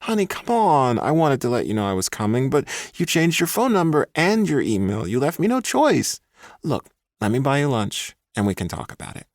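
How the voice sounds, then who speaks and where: Male narrator voicing a high, wheedling ex who keeps pleading; dry close read. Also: big pitch swings, normal speed, dry recording. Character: pleading, clingy young male ex-boyfriend.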